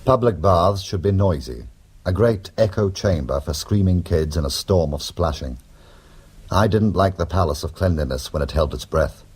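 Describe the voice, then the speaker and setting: Very deep-voiced, wry male rogue. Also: animated, normal speed, a little mellow, breathy edge. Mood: wry, atmospheric. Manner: sardonic, mocking, glib. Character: wry, cheeky, wisecracking male criminal who also narrates. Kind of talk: radio drama acting and narration.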